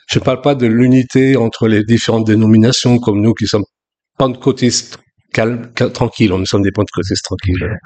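A man from France in his sixties, preaching a sermon in a relaxed, conversational way with frequent restarts. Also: mid-range voice. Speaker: pastor.

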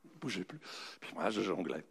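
A man giving a humorous, anecdotal motivational talk, telling his story with dramatic pauses. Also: low-pitched, very animated, creaky, a bit bright, slightly rough. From raised bilingual French-German, in a French-German family. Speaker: motivational speaker. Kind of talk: anecdotal public talk to an audience.

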